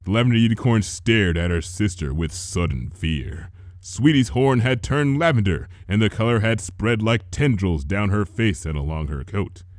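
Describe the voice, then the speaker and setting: A man reading a story aloud as a dramatic, expressive narrator. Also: on the low side, moderate pace, no echo, studio quality. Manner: theatrical, expressive. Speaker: fan fiction reader.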